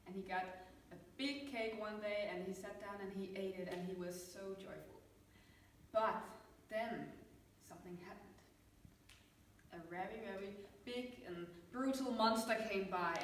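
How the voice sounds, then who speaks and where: Woman storytelling in a very echoey room. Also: mid-range voice. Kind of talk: improvised fairytale storytelling. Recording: very echoey room from far off, faint noise.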